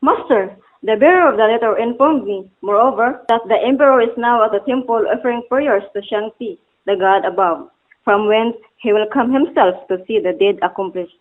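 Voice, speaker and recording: A woman's voice: on the high side, normal speed, narrow, tinny audio, lightly acted. Woman acting a formal, loyal servant. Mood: worried, deferential. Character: loyal, worried servant bearing messages to the master. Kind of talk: amateur stage-play dialogue, formal archaic English.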